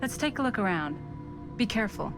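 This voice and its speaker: A woman's voice: medium pitch, sing-song, brisk, over music. A woman playing a practical, skeptical investigator in a video game, speaking matter-of-factly. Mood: wary, dry.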